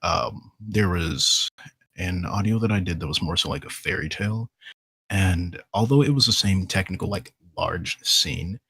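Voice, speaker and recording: A man on a podcast panel, explaining his performing work in a reflective, measured and wordy way. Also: deep voice, gravelly, bright, forceful tone, breathy edge, no room sound.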